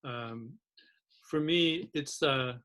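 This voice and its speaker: A man's voice: a little high, drawn-out words, pushed and bright. A man raised in Turkey, hosting a casual conversation among writing teachers, speaking thoughtfully and stopping often to restart. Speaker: host of faculty round table.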